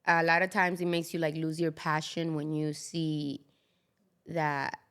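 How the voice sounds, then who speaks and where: A young woman from the Dominican Republic, a model chatting as a podcast guest, animated and laughing often, with many fillers. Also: low voice, measured pace, clear, resonant tone. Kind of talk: casual interview chat. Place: South Florida.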